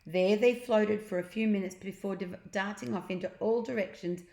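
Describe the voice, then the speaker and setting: A woman's voice: mid-range voice, normal speed, vocal fry, a little mellow. Female storyteller for young children, mid-range voice, explains as she reads, recorded in a reverberant room. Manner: explains pictures and words to children. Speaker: storyteller reading a children's book aloud. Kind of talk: read-aloud storytelling with asides to young listeners. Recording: roomy, echoing sound.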